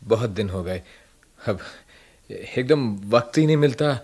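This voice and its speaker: A man's voice: mid-range voice, lively intonation, a little slow, darkish tone, husky. An actor playing a weary, disillusioned writer in a radio drama, respectful and hesitant in his speech. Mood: respectful, subdued. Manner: hesitant, deferential, brief phrases. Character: subdued male writer, respectful, weary, disillusioned old comrade.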